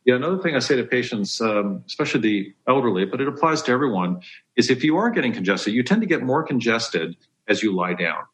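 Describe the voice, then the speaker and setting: A man's voice: medium pitch, very animated, fast talker, a bit bright, low-quality recording. A man giving health advice as a dentist on a podcast, explaining step by step in an emphatic, conversational way.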